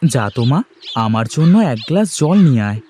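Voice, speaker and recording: A man's voice: mid-range voice, expressive, fairly quick, warm tone, slight background noise, natural voice. A man playing a poor, weary fisherman and tender father in a fairy-tale cartoon, speaking plaintively and expressively. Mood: tender, worried. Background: music.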